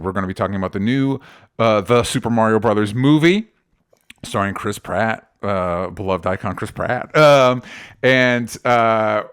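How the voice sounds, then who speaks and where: A man hosting a casual pop culture podcast, talking informally in a halting, rambling way with self-interruptions and the odd mild swear. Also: medium pitch, fairly bright tone, smooth. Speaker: podcast host.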